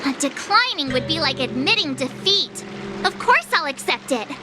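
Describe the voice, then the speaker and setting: A woman voicing a perky, eager teenage sword pupil in an anime-style game, with a girlish, expressive delivery. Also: sits high, normal speed. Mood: hesitant. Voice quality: girlish, youthful. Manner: bubbly, expressive exclamations. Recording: music and effects behind voice.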